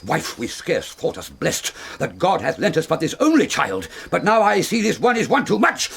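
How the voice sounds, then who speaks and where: A man's voice: on the high side, wildly swooping pitch, moderate pace, vocal fry, a bit bright, husky, exaggerated voice. An actor playing a furious, domineering older nobleman father in a Shakespearean radio drama, with a British accent and explosive, theatrical delivery. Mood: furious, scornful.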